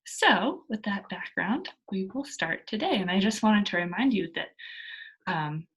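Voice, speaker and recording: A woman teacher reading a children's book aloud and explaining it to young pupils in an expressive storytelling manner. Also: medium pitch, a little slow.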